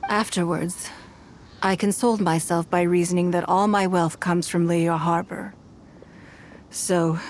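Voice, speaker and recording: A woman playing a composed, wealthy noblewoman leader in a video game, speaking in a measured, formal way with private grief underneath. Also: on the low side, slight background noise, natural voice. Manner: measured, formal, eloquent, poised. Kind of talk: scripted video game dialogue.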